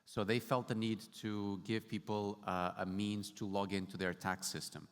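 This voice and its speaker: A man's voice: fairly low pitch, fairly even intonation, moderate pace. A man from Portugal speaking casually on a conference panel about his startup work, explaining with light humor. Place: large conference hall stage. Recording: hall reverb.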